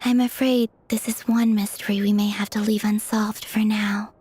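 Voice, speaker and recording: A woman voicing a serene, gentle young moon goddess in a game, calm and softly breathy. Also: mid-range voice, normal speed, natural voice.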